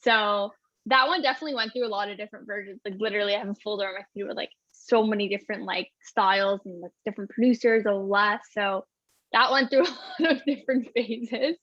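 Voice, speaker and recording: A woman's voice: mid-range voice, fast, a bit bright, no echo. Young female singer, casual and bubbly, constant "like", lo-fi dry audio over a video call. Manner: bubbly, says "like" constantly, laughs often. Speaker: singer-songwriter guest. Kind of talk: casual interview chat. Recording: dull, dry, lo-fi sound.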